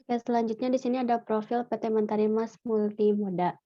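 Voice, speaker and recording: Young female student presenter, even monotone, muffled lo-fi sound. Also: a little high, brisk. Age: young adult. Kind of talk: class presentation. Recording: dull lo-fi audio.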